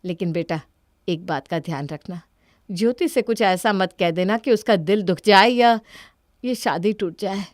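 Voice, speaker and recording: An anxious ageing mother from a cartoon drama, acted by a woman. She coaxes and pleads with gentle persistence, at a middle pitch in a dry recording. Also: normal speed, no echo, close to an everyday voice. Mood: worried, coaxing. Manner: coaxing, pleading.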